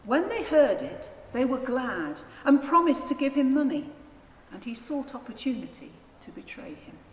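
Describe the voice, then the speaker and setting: A woman's voice: high voice, sing-song, moderate pace. A woman narrating a dramatised Gospel in a formal, declamatory reading style. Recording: echoey church hall, faint noise.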